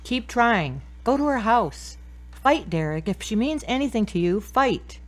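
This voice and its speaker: A woman's voice: a little high, sing-song, measured pace. Woman acting firm, caring tough-love confidante. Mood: urging. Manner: direct, probing. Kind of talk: audio drama acting.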